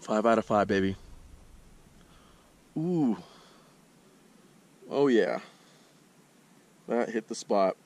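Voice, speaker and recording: A man's voice: lowish voice, expressive, extremely slow, pauses often, darkish tone. A man in his thirties, a hiking vlogger, chatting casually and upbeat outdoors by a mountain lake into a handheld action camera. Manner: laid-back, upbeat, laughs easily. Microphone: action camera held at arm's length.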